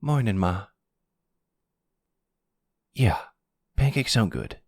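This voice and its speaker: A man's voice: lowish voice, animated, takes it slowly, breathy edge, no echo, clean studio sound, voice pushed up, exaggerated voice. A man playing a sulky, defensive young crook, a grown son who protests and hesitates, in an ASMR roleplay drama. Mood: apologetic, earnest.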